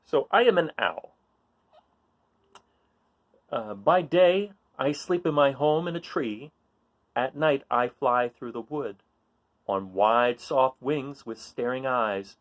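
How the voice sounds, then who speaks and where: A man reading a children's picture book aloud in an informal, expressive way, putting questions to young listeners and encouraging them. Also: mid-range voice, slow, long pauses, highs cut off. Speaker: read-aloud storyteller.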